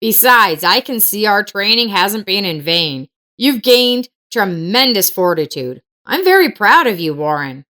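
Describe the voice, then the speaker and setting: A woman's voice: mid-range voice, sing-song, a little slow, hardly any pauses, sharp tone. A woman voicing a supportive male martial arts coach with a reassuring, emphatic manner in an audio story. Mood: proud.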